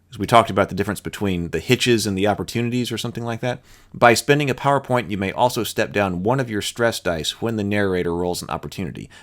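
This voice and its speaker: A man's voice: on the low side, a bit bright. A man presenting as a host, reading rules aloud and adding casual commentary of his own.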